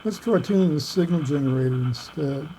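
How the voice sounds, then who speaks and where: A man's voice: fairly high pitch, fairly quick, dark tone, slight background noise, rough recording. A man giving an unscripted hands-on technical walkthrough, thinking aloud in a matter-of-fact way. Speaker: presenter of a radio repair demonstration.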